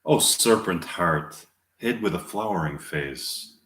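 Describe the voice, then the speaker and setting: A man reading the part of an impatient, lovesick young girl in verse, earnest and a little halting. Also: low voice, expressive, a bit bright, full character voice. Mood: bitter. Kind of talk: amateur Shakespeare reading. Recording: echoey room.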